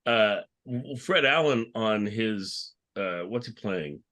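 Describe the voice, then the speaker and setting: A man's voice: fairly low pitch, animated, slow, a bit bright. A man hosting a casual panel discussion, chatting informally and putting questions to the others. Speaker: podcast host moderating a panel.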